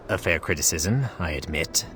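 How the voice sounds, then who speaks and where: An actor playing a solemn, regretful male divine being in a game, speaking in a measured, formal manner. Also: low-pitched, animated, fairly bright tone, faint music behind. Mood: grave, earnest. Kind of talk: scripted game dialogue.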